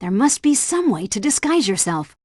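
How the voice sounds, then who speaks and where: A woman's voice: fairly high pitch, very animated, moderate pace, a little mellow, no room sound, clean studio sound. A woman playing a composed, helpful spy agency helpdesk operator in a video game, giving scripted instructions in a precise briefing style. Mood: composed, instructive. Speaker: mission helpdesk guide. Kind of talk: scripted game tutorial narration.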